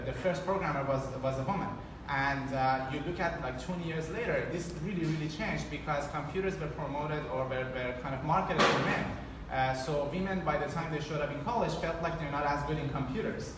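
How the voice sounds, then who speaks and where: A man speaking on a panel in a big event hall, his voice ringing with echo. He picks up from afar with steady background noise. His delivery is thoughtful and halting, full of pauses and restarts. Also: fairly high pitch. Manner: thoughtful, many fillers and restarts. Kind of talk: panel discussion, explaining. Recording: echoey hall, distant mic, background noise.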